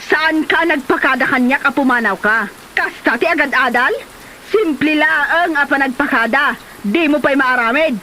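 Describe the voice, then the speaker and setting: Casting: actress as a meddling, insistent mother for comedy drama; high, forceful voice. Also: normal speed, a little hiss or hum, low-quality recording. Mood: scolding, insistent. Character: mother pushing her daughter to marry a gambler. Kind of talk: amateur Ilocano comedy drama acting.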